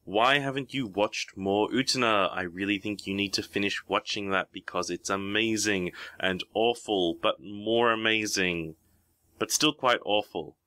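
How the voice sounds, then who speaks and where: A man leaves a prepared voicemail for a podcast. His voice is on the low side but bright and forward, with a dry, close sound. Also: a little slow, no room sound.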